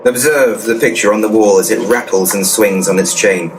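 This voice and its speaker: A man's voice: lowish voice, moderate pace, natural voice. An actor playing a cocky, crude ghost-busting handyman in a comedy audio drama, pompous and matter-of-fact. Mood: pompous, assured. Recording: distant mic, clear background noise.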